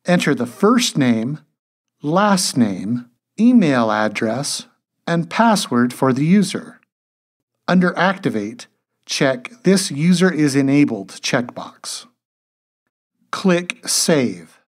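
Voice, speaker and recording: A man reading scripted instructional narration as a tutorial narrator, in a measured, step-by-step way. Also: fairly high pitch, very animated.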